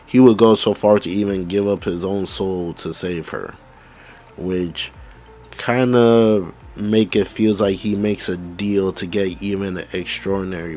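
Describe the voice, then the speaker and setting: A man with a low voice, coming down with the flu, hosts a gaming video and talks casually. He reads game details aloud with small stumbles and restarts, then comments on them. Faint music plays behind him. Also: darkish tone. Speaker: gaming channel host.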